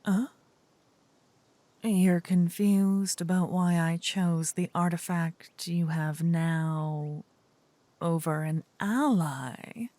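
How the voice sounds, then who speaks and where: A woman's voice: on the low side, steady tone, mellow, dry recording. A woman voicing a seductive, possessive demon queen in an intimate fantasy ASMR roleplay, often whispering, with teasing, smug menace. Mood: teasing, condescending. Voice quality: breathy, husky, often whispering. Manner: slow, teasing, doting menace. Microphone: close, dry microphone.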